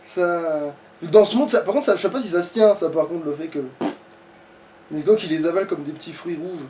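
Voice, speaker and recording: A high-pitched male voice, chatting and joking through a game. The tone is soft and mellow rather than sharp. He sounds some distance from the mic, with slight noise in the background. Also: a little fast, roomy sound. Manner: joking, swearing. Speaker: let's play player. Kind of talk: casual gaming chat.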